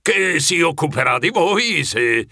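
An actor playing a bossy old grandfather rat, proud and pompous, with a gravelly, husky voice in a children's audio story. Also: fairly high pitch, very animated, unhurried, background noise. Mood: solemn, pompous. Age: older. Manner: solemn, pompous, commanding.

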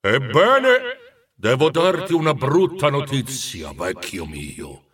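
A man's voice: medium pitch, big pitch swings, takes it slowly, fairly bright tone, far from the mic. A man voicing a giant, jovial but blunt ghost of Christmas in a storybook reading. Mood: solemn. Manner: amused, sighing, weighty delivery.

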